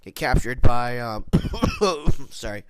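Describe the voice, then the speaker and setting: A man's voice: medium pitch, slow pace, no room sound. A man with a nasal voice, congested from hay fever, giving a casual, rambling spoken review of a TV episode. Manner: rambling, many restarts and self-corrections.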